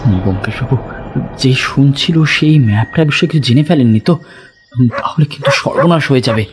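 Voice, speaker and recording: A man playing an anxious, talkative local gentleman who explains things conversationally in an audio drama. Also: mid-range voice, lively intonation, a little fast, over music, lightly acted. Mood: worried, urgent.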